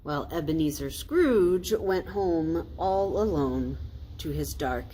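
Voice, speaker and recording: An American woman, a pastor, reading a children's story aloud in a deliberate, explanatory way. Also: deep voice, expressive, dark tone, rough recording. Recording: faint noise, ambient sound.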